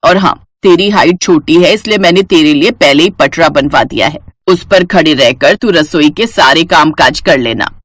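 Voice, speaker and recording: Lowered matronly voice, older mother-in-law, firm, instructing, family-story character, put on by a woman narrator, dry recording. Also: moderate pace, hardly any pauses, husky, no room sound.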